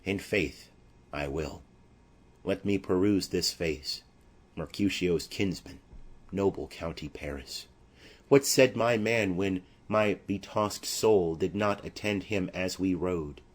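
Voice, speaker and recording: Guy doing desperate young exiled lover, shakespeare tragedy audiobook, grave and menacing, slow n husky. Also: low voice. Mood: remorseful. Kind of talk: classical tragedy audiobook acting.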